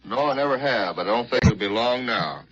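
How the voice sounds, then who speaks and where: A man's voice: medium pitch, animated, brisk, a little hiss or hum. An American man, a military pilot, giving a plain, matter-of-fact account in a 1940s radio interview. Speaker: army fighter pilot, colonel being interviewed. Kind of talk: 1940s radio interview, recounting war experience. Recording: muffled vintage radio with loud crowd behind.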